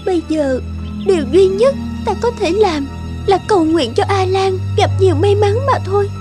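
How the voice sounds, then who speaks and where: A woman's voice: extremely high pitch, normal speed, music in the background. A woman voicing a loving, anxious young maiden in a folktale cartoon, tender and pleading. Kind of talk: dubbed folktale cartoon acting.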